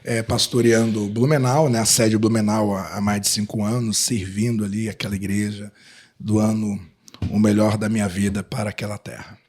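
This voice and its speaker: A man's voice: lowish voice, little pitch movement, normal speed, darkish tone, slightly rough. A middle-aged man, a pastor guest on a church podcast, telling his story in a jovial, joking way. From Brazil, Minas Gerais. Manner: jovial, storytelling, self-deprecating humor. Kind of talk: casual podcast interview.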